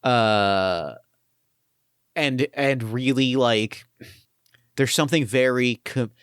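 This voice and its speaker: A man running a tabletop game as game master, thinking aloud and pitching story ideas with eager restarts. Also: slow, fairly bright tone, smooth, no room sound, clean studio sound. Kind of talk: collaborative planning for a tabletop game.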